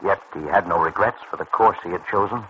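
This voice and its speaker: Solemn, low-voiced male vintage radio narrator. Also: sharp tone. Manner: formal, solemn, deliberate. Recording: dull, noisy old radio recording.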